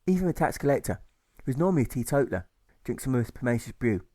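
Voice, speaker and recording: Guy narrating rhyming audiobook, bouncy rhythm, medium pitch, dry close sound. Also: very animated, fast, dark tone, no echo. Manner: rhythmic, emphatic on rhymes and repeated sounds. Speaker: author reading his own audiobook.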